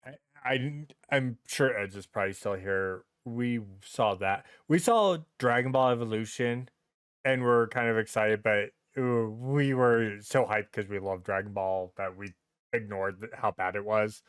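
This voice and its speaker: A man's voice: fairly low pitch, measured pace, smooth, no room sound, studio quality. A man chatting casually on a comedy podcast, given to exasperated, sweary rants. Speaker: podcast host.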